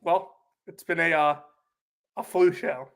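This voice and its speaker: A man's voice: fairly high pitch, slow pace, fairly bright tone. A sick young man co-hosting a casual sports podcast, chatty and full of "like" fillers. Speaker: podcast co-host.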